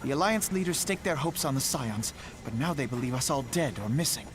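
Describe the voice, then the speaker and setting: An actor playing a solemn, resolute young male scholar-leader in a game cutscene, speaking in a formal, measured way. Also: mid-range voice, lively intonation, noisy background, natural voice. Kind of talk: voiced video game cutscene. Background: game music.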